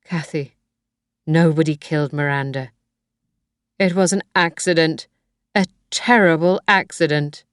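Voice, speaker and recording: A calm husband who keeps his voice soft and reassuring, as a woman reader plays him. He speaks slowly and steadily. The sound is close and dry. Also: low voice, smooth, dry recording.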